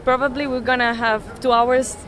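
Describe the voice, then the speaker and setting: A woman in her twenties from Spain, answering interview questions outdoors in halting English with many restarts. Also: a little high, level tone, fairly bright tone. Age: 20s. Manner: halting, restarts often, non-native English. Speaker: interviewee, saxophonist. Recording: noisy street background.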